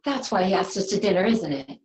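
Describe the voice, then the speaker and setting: A woman's voice: medium pitch, animated, brisk, darkish tone, close to an everyday voice. A woman playing an inquisitive, skeptical wife in a screenplay table read, recorded in a roomy space. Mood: curious, doubtful. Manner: questioning, skeptical. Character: inquisitive, doubtful wife chatting at bedtime. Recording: roomy, echoing home recording.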